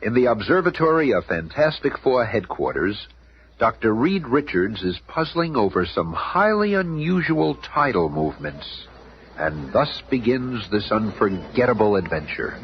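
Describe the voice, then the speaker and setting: A man's voice: lowish voice, sing-song, measured pace, husky, full character voice. A man narrating a 1970s radio drama as a dramatic storyteller. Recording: noisy, dull 1970s radio recording.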